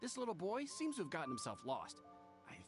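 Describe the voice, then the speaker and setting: An actor voicing a friendly pharmacy clerk in a game, brisk and helpful. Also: male voice, high-pitched, huge pitch swings. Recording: game audio with music behind.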